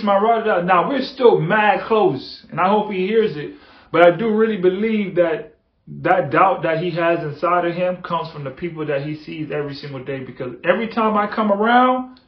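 A man hosting a motivational podcast, talking earnestly and repeating phrases for emphasis. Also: high-pitched. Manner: earnest, repetitive, building emphasis. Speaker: podcast host. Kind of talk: motivational monologue. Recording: echoey, dull lo-fi room sound.

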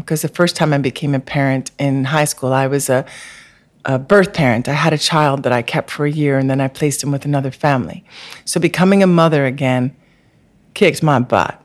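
A woman being interviewed as a therapist, telling a personal story in an earnest, candid, spiritual way, with many "like" and "um" fillers. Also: low-pitched. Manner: frequent "like" and "um" fillers. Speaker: guest therapist.

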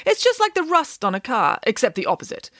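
A woman's voice: high voice, wildly swooping pitch, a little fast, a bit bright, no echo, studio quality, voice pushed up, full character voice. A woman voicing a glamorous, boastful pig nanny in an audiobook, grandly and emphatically explaining nonsense as fact. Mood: breezily confident. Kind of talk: audiobook character voice.

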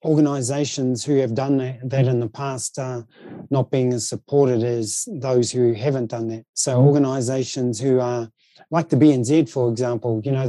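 A man's voice: mid-range voice, warm tone, no room sound. A man with a New Zealand accent, speaking in a considered, conversational way as an interview guest.

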